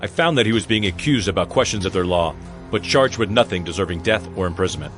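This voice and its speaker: A man's voice: low-pitched, animated, fast, fairly bright tone, soft background music. A man playing a stern Roman military tribune in a dramatized Bible reading, authoritative and formal.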